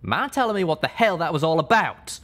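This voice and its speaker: A man voicing a gruff, hot-tempered male pegasus pony with a drawl, loud and blustering. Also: high voice, huge pitch swings, measured pace, voice pushed up, heavily acted. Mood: angry.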